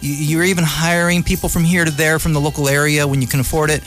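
A man's voice: fairly high pitch, flat delivery, quick pace, fairly bright tone, soft background music. A man hosting a casual community radio interview, chatty, jokey and slangy in an effusive way. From California, United States. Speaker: radio host.

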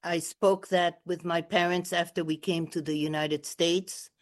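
An older woman, a native French speaker, answering a radio interviewer's questions as a memoirist and poet in a measured, gentle way. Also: on the low side, fairly even intonation.

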